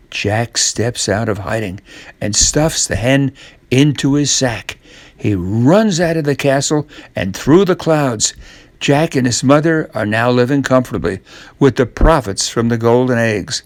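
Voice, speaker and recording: A man narrating a scripted children's story, reading aloud in a measured, expressive way. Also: medium pitch, no echo.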